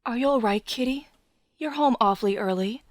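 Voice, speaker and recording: Casting: caring, slightly strict mother played by a woman, audio drama, high pitch, dry sound. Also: a little fast, dry recording, natural voice. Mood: concerned. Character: concerned, mildly strict suburban mother.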